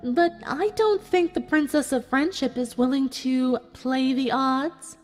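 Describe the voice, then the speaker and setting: A woman voicing a cruel, smug cartoon unicorn villain who taunts her captives with calm menace in a dramatic audio story. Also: high-pitched, a little slow. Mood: taunting. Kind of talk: dramatic fan fiction audio reading. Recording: music behind the voice.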